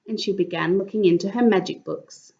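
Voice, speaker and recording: A woman reading a picture book aloud as an expressive storyteller, with dramatic emphasis for young listeners. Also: mid-range voice, brisk, gravelly, muffled recording. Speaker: children's story reader.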